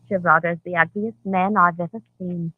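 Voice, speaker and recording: A woman's voice: on the low side, fairly even intonation, moderate pace, dry recording, close to an everyday voice. A woman in an old radio drama playing a chatty, conspiratorial underworld recruiter who coaxes and pries. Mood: confiding. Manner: coaxing, inquisitive. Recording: tinny lo-fi vintage radio recording.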